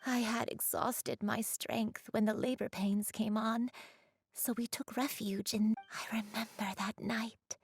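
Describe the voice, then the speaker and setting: An actress voicing a frail elderly grandmother in a game, who speaks softly and haltingly as she struggles to remember. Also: on the high side, steady tone, a little hiss or hum. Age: older. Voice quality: breathy. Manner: hesitant, halting, soft-spoken. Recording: game audio with soft music.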